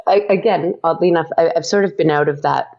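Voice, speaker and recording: A woman being interviewed about her podcast, talking hesitantly with many pauses. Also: low-pitched, darkish tone, muffled recording. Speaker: guest, audio drama podcaster. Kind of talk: casual interview chat.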